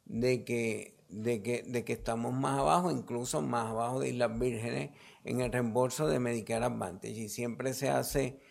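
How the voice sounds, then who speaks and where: Male health official, Puerto Rican accent, creaky mid-range voice, explanatory press-briefing answers with halting restarts. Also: medium pitch, fairly even intonation, a little mellow. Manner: halting, repeats words mid-sentence.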